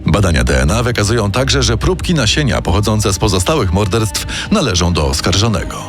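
Animated male true-crime narrator over music. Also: on the low side, fairly quick. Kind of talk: scripted dramatic narration.

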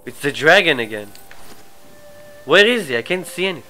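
A man with a cold and a stuffy nose, chatting casually through a live game stream, his asides often trailing off quietly. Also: fairly high pitch, very animated, normal speed, soft background music, poor audio. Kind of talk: live gameplay commentary.

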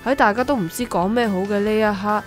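A woman's voice: mid-range voice, quick pace, loud music behind the voice, close to an everyday voice. A woman with a Hong Kong accent playing a sardonic, protective young woman in a radio drama. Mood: reflective narration. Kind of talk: Cantonese radio drama acting.

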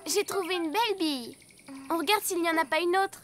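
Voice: very high voice, a little fast, fairly bright tone, a little hiss or hum. An actor voicing a sweet, playful little girl donkey in a preschool cartoon, with a childlike, singsong way of talking. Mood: playful, wanting. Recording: music behind the voice.

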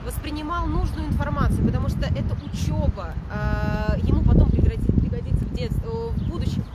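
A woman's voice: sits high, a little fast, dull audio. A woman in her thirties from Latvia, giving an earnest, emphatic street interview outdoors, often adding a checking "да". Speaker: protester. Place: outdoors on a city square by a government building. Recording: street with loud traffic and crowd noise.

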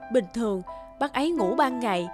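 A gentle mother bird from a cartoon, played by a woman, speaks in a high voice. She is tender, polite and patient, with clear music underneath. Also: expressive, fairly quick, close to an everyday voice. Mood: grateful, tender. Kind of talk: cartoon dubbing. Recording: music behind the voice.

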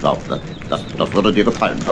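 A man voicing a mean, skinny, self-pitying dog with a growly, breathy voice in a children's audio play. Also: low voice, quick pace. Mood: grumbling. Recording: noisy old vinyl record, animal sounds.

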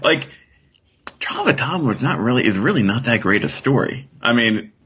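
A man's voice: mid-range voice, sing-song, normal speed, a bit bright, breathy edge. A man chatting casually as a podcast guest, offering opinions in a hesitant, hedging way over slightly dull audio.

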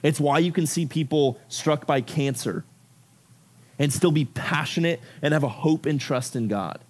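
A man preaching to high schoolers in a room of about fifty, his voice sitting a little high. He grew up in Ecuador. His delivery is loose and conversational, peppered with "like" and quick check-ins of "right?". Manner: casual, animated, filler-heavy with frequent "like" and "right?". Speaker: youth pastor. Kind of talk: youth sermon. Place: youth service room with about fifty people.

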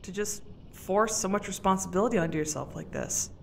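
An actress playing a worried, tender mother in an audio drama, speaking in a hushed, hesitant way. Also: mid-range voice, a little fast, creaky, slight background noise, natural voice. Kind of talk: naturalistic audio drama acting.

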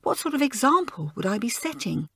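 A woman reading aloud, voicing an ashamed schoolgirl who sobs and pleads as she confesses her fear of the dark. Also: high voice, sing-song, brisk, gravelly, slightly rough, no room sound, clean studio sound, pitched above the performer's own voice, exaggerated voice. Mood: tearful. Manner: sobbing, pleading.

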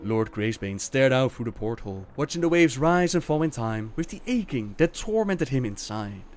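A man's voice: medium pitch, big pitch swings, moderate pace, a little mellow, faint music behind. A man narrating fantasy tales as a host, in a dramatic storybook style.

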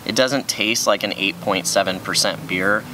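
A man's voice: fairly low pitch, moderate pace, background noise. A young man co-hosting a casual beer review on an outdoor brewery patio, giving frank, matter-of-fact opinions on each beer.

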